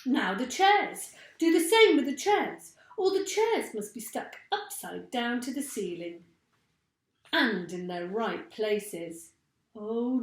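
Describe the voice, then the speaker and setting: A woman reading a children's storybook as an excitable, bossy male monkey father who barks out orders. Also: high voice, pitched above the performer's own voice. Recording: echoey room.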